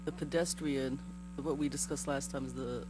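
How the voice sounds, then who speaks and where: Hoarse man with a cold, mains hum behind. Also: mid-range voice, fairly even intonation, normal speed. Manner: apologetic, rambling questions. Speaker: board member asking questions. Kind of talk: public meeting questioning. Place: village board meeting room.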